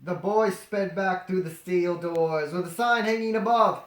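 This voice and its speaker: A storyteller reading aloud comedically, with animated, sarcastic improvised asides. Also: mid-range voice, a little slow. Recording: roomy, echoing sound.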